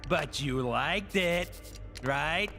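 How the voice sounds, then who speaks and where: An actor voicing a brash, sarcastic space raccoon in a game, slangy and wisecracking. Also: male voice, on the high side, very slow, vocal fry, bright, forceful tone, big-room sound, soft background music, exaggerated voice.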